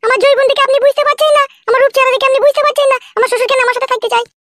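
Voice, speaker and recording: A woman's voice: extremely high pitch, fairly even intonation, rapid-fire, fairly bright tone, no room sound. A woman voicing a pushy, indignant young village widow in a comedy cartoon, complaining insistently. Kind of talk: Bengali comedy cartoon dubbing.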